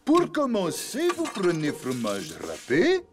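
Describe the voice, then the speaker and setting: A man's voice: high voice, huge pitch swings, heavily acted. A man playing a grand, pompous celebrity chef in a children's cartoon, drawing out his words as he teaches. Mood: grand, instructive. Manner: pompous, drawn-out delivery. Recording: music under the voice.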